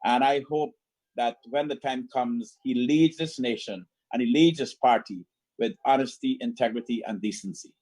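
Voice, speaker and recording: A man's voice: mid-range voice, normal speed, a little mellow, rough recording. A man with a Guyanese accent speaking earnestly and emphatically as a panel guest, repeating phrases as he goes. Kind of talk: political panel discussion.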